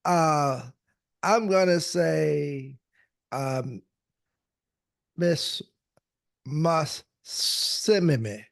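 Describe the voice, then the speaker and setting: A man hosting a podcast interview, talking slowly with many fillers and restarts. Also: mid-range voice, no room sound, clean studio sound. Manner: slow, hesitant, many fillers. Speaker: podcast host.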